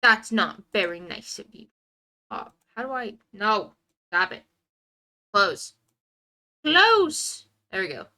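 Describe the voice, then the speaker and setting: Bright-voiced young woman, stuffed-up nose. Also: medium pitch, very animated, takes it slowly, pauses often. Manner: scattered, muttering asides to herself. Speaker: streamer. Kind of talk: casual live stream chat while playing a game.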